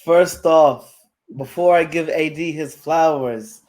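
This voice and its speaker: Hoarse, excited young man. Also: fairly high pitch, slow, slight background noise, rough recording. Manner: excitable, yelling fan. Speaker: podcast co-host. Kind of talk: excited sports banter.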